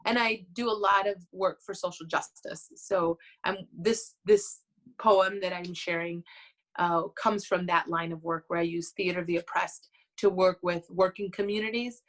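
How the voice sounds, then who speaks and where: A woman from Chicago, a theater artist and mother, talks thoughtfully and pauses on fillers. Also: on the low side, fairly even intonation, a bit bright. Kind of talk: personal introduction.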